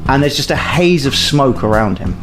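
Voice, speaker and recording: A man's voice: medium pitch, lively intonation, moderate pace. A man working as game master, narrating casually and improvising as he goes, feeling out his words. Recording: music playing under the voice.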